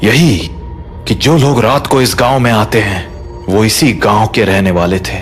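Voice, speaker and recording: A man playing a grave, protective father in an audio drama, speaking in a solemn, measured way. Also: fairly low pitch, fairly even intonation, soft background music, close to an everyday voice. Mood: sorrowful.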